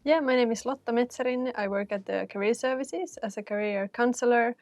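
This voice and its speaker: A woman's voice: fairly high pitch, fairly quick, a little mellow, no room sound. A woman, a career counselor talking casually with many fillers and restarts. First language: Finnish and Swedish. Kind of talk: casual panel talk.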